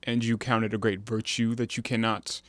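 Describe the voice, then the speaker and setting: A man playing a guarded, nervous traveler in an audio drama, speaking in a hesitant, measured, questioning way. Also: lowish voice, little pitch movement, a bit bright, dry recording, natural voice. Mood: probing. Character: guarded, nervous, quietly probing male traveler. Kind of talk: stage-play acting in an audio drama.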